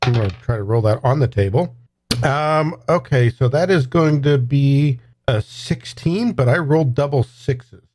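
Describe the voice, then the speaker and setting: A man's voice: medium pitch, measured pace, a little mellow. A man as a player in a tabletop role-playing game, casually describing what his character does. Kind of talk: casual actual-play chat, describing his character's moves.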